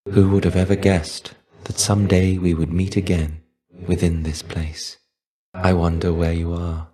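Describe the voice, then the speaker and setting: Casting: a melancholy dead Greek warrior for a video game, low-pitched, unhurried, resigned and understated. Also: male voice, darkish tone. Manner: measured, wistful, resigned, dryly understated. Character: weary, melancholy shade of a fallen ancient Greek warrior. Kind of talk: video game character voice lines.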